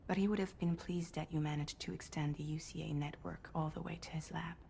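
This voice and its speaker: Caring colleague for game cutscene, soft and understated, dark timbre with gravelly fry, faint ambient noise. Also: female voice, sits low, steady tone, a little fast. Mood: somber, consoling.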